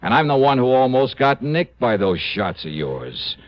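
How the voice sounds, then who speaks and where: Male actor as hard-boiled detective, American, pressing, sardonic, very animated delivery, old radio drama, dull lo-fi sound. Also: mid-range voice, moderate pace, noisy background, lightly acted. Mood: hard, menacing. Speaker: lead actor and narrator of a radio detective series. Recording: muffled vintage radio recording.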